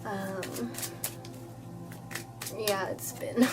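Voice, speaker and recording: A woman doing a casual tarot reading who sounds a bit nasal from a cold, talking in a chatty, reassuring way with lots of "okay?" and fillers. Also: fairly high pitch. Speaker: tarot reader.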